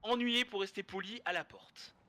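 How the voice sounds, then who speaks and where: Stuffy-nosed caster, bright tone. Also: male voice, high-pitched, normal speed. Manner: joking, rambling casual commentary. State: stuffy nose, hoarse from a cold. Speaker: esports caster. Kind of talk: live esports commentary.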